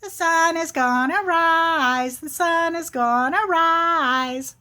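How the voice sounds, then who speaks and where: A woman's voice: very high voice, slow pace, bright, forceful tone, pitched above the performer's own voice, heavily acted. A woman voicing a cheeky, smart-alecky little male squirrel in an animal folktale, in a sing-song way. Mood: taunting, gleeful.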